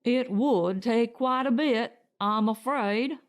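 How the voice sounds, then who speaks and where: A woman voicing an evasive, corrupt male director in an audiobook, with slow, wooden politeness. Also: a little high. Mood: calculating. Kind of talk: audiobook character dialogue.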